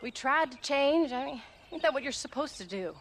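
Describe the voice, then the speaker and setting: A tough frontier mother talks in a high voice with a rural Western American accent. She is blunt and sardonic, acting in a game scene with clear noise behind her. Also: animated, moderate pace. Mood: wary. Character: tough, sharp-tongued frontier wife and mother. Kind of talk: video game cutscene acting.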